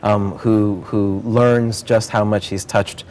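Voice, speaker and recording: A man with a fairly low voice talks as a theater director being interviewed. He sounds earnest and enthusiastic, explaining his work in a conversational, promotional way. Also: little pitch movement, slow, darkish tone. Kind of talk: promotional interview about a stage production.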